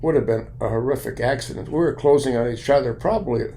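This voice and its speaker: Older American male vlogger, gravelly and blunt, deliberate phrasing, mid-pitched, light noise behind. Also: mellow. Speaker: video commentator and private pilot. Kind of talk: opinion monologue to camera.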